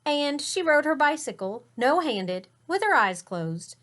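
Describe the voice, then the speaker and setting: A woman's voice: high-pitched, very animated, unhurried, a bit bright. A woman teacher reading a picture book aloud to children, explaining words and pointing out the pictures, sometimes dropping to a whisper.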